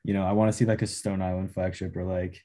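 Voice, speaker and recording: A man hosting a podcast, relaxed in his talk and joking easily with his guest. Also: deep voice, fast talker. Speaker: podcast host. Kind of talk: casual chat.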